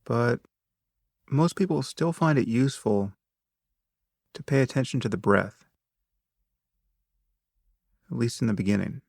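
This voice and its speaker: A man narrating a guided meditation in a measured, instructive manner, speaking in short phrases with long pauses between them. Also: mid-range voice, expressive, gravelly, a little mellow, no room sound, clean studio sound.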